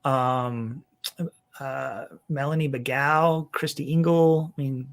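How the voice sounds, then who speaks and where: A man chatting informally as an interview guest, rambling a little and modest about himself, with a slightly high voice for a man. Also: fairly even intonation, slow pace. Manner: self-deprecating, rambling, informal. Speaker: podcast guest, church executive minister and author. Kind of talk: casual conversational interview.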